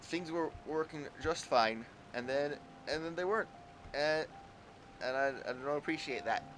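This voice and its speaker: A man chatting casually as he livestreams a game, halting and repeating his words, with mild exclamations. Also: fairly high pitch, a bit bright, faint music behind, poor audio. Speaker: game streamer. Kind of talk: casual livestream chat and commentary.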